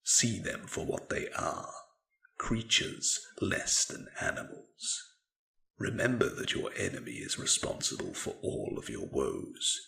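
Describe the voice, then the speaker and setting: A man playing a bitter, venomous elf commander with a gravelly voice, exhorting gravely in a fantasy monologue. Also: a bass voice, wildly swooping pitch, measured pace, bright, forceful tone, heavily acted. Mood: cold hatred.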